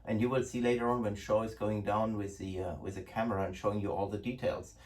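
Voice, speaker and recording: A man's voice: lowish voice, little pitch movement, fast talker, a little mellow. A middle-aged man with a German accent, hosting a casual product talk to camera in an indoor room, chatty with many restarts. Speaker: host, gun importer. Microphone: far camera. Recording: distant room sound.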